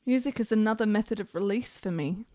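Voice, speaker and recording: An actress playing an ailing, bitter and morbid young gentlewoman in a period radio drama, with formal, dramatic delivery. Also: mid-range voice, fast, slight background noise, natural voice. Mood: brooding.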